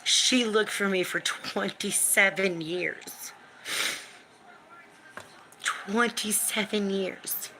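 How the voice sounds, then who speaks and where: Deep-voiced, blunt woman in her fifties. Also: very slow, long pauses, noisy background. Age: 50s. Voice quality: deep smoker's voice. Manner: blunt, emphatic, swears freely, repeats for emphasis. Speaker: livestream host. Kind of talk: emotional live-stream venting. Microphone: phone or webcam for a live stream.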